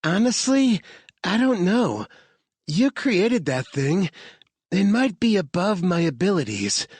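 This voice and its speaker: A man voicing a young female hacker who is out of breath and wry, his voice pushed high. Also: big pitch swings, slow pace, darkish tone, breathy edge, dry recording, full character voice. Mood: breathless.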